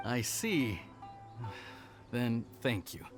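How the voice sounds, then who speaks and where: Dutiful young game retainer: anxious, earnest, hesitant, lively mid-range voice over clear background music. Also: male voice, mid-range voice, extremely slow. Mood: hesitant, grateful. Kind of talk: video game voice acting.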